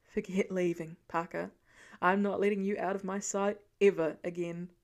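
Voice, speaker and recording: A woman voicing a weary, gentle middle-aged male archer with an earnest, reassuring, plain-spoken manner in an audiobook story. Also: lowish voice, flat delivery, normal speed, pitched below the performer's own voice, lightly acted. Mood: tender, firm.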